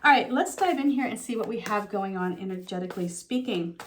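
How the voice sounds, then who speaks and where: A middle-aged woman giving a relaxed, talking tarot reading to her viewers, her voice a little froggy from a slight sore throat, with frequent ums and you-knows. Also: medium pitch, brisk, slight background noise. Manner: soft conversational, with frequent um and you know. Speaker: tarot reader.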